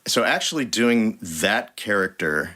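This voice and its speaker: A very low-pitched man talks in a relaxed interview as the guest voice actor. His delivery is animated and playful, and he often stops and restarts his sentences. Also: unhurried. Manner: animated and jokey, with frequent restarts. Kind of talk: casual interview chat.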